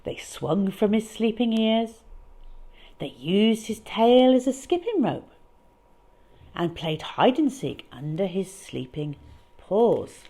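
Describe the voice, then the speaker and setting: Slow, animated woman reading a children's story. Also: mid-range voice. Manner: dramatic, deliberate, with emphatic stresses. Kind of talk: expressive picture-book read-aloud.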